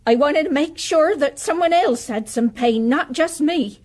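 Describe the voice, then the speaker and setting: An actress playing a bitter, evasive Victorian married Englishwoman in an audio drama, husky and defensive. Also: high voice, a little slow, darkish tone. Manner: indignant, defensive. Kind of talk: period audio drama acting.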